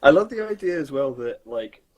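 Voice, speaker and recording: A man's voice: mid-range voice, sing-song, fairly quick, darkish tone. A man co-hosting a casual, comedic video game podcast, acting out imagined dialogue and swearing freely. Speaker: podcast co-host. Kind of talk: casual comedic chat about video games.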